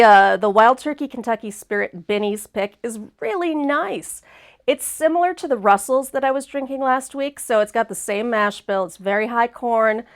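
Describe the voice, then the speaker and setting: Woman host, sniffly from allergies, chatty, upbeat, easy laugh, middle pitch. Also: clean tone. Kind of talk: casual livestream chat.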